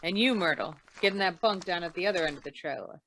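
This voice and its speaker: Woman as a low, wry hard-boiled investigator. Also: fast, fairly bright tone, rough recording. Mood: commanding, wary. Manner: dry, wry, clipped. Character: hard-boiled, wisecracking freelance insurance investigator narrating a case. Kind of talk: old-time radio noir acting.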